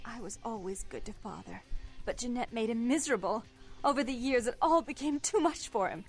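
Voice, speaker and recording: Haughty, prim vampire woman, high voice with sweeping intonation, clipped and venomous, dramatic game acting. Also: expressive, brisk. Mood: defensive, indignant. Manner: clipped, haughty, formal, indignant. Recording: game dialogue over background music. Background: music.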